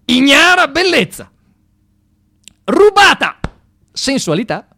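A man playing a pompous amateur poet in a radio stage satire, declaiming flowery verse in a drawn-out, mock-solemn, overblown way. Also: very high-pitched, sing-song, pushed and bright, no echo, voice pushed up, exaggerated voice. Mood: grandiose fervour. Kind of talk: mock-solemn poetry recital.

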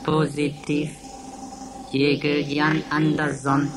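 A woman playing an impassive computer in a radio drama, announcing in a flat, clipped, mechanical way. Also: deep voice, slow pace, crowd noise. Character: Seneca, an impassive computer running roll-call checks.

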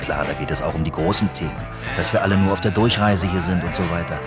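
A man's voice: low voice, fast talker. A man narrating a scripted documentary in a wry, colloquial storytelling manner. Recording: studio voice over prominent music.

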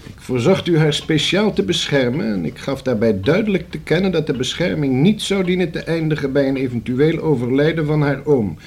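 A man's voice: fairly high pitch, a little fast, vocal fry, hardly any pauses, darkish tone, husky. An actor in a radio play as a guarded male notary, speaking in stiffly formal, precise and evasive phrases. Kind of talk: radio drama acting. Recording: hissy old radio play recording.

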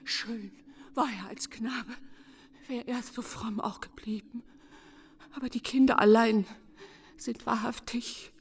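A woman's voice: on the high side, steady tone, slow pace, long pauses, breathy edge, exaggerated voice. An actress playing a wronged, grief-stricken woman in a German radio play, lamenting and accusing in archaic literary speech. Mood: hushed sorrow. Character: wronged, wild, grief-stricken woman reproaching her lost lover.

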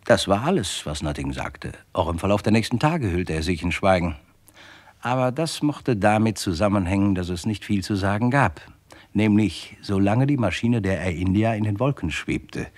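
An actor narrating a radio crime drama in a composed, dry, scripted storytelling manner. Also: male voice, low voice, husky, heavily acted. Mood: measured.

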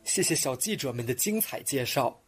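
A man's voice: mid-range voice, expressive, moderate pace, faint music behind. A man co-hosting a children's broadcast in a polished presenter's delivery, reciting classical verse. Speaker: program co-host.

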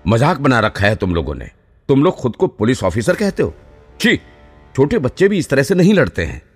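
A man's voice: mid-range voice, huge pitch swings, a little fast, breathy edge, voice pushed up. A man voicing an angry, exasperated senior police training officer who scolds indignantly in an audio story, with music behind him. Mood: furious scolding. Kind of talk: dramatized audio story dialogue.

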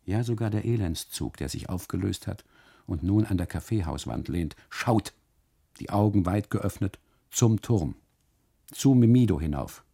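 An actor playing an uneasy husband on holiday in a literary radio monologue, narrating inwardly and haltingly in a gravelly, husky voice. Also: male voice, low voice, expressive, dark tone, heavily acted. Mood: grim, observant. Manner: halting, brooding, deliberate phrasing with repetitions and dramatic pauses. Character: uneasy German husband on holiday, obsessively narrating his story.

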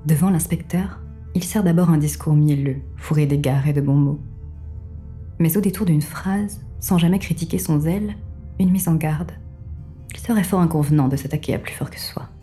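A woman performing as the detached, ironic storyteller of a dark audio fiction, with formal, measured literary narration. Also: sits low, faint music behind, natural voice. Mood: wry, detached.

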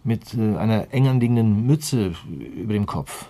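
A man's voice: fairly low pitch, normal speed, dark tone, natural voice. An actor in a crime radio play voicing a sober man weighing evidence, factual and dryly objecting.

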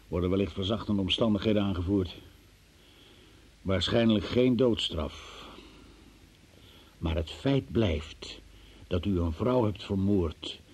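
An actor playing a stern, cold examining magistrate in a radio play, questioning formally and relentlessly with a gravelly, husky voice. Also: male voice, lowish voice, a little mellow. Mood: grave, reproachful. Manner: formal, accusing, deliberate. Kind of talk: Dutch radio play interrogation acting.

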